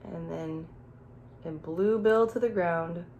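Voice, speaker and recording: A woman teacher reading a picture book aloud to young children, playful and expressive, pausing to ask simple questions and explain. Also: fairly low pitch, muffled recording, a little hiss or hum. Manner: expressive, playful, with gasps and exclamations. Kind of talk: children's storybook read-aloud with questions and asides.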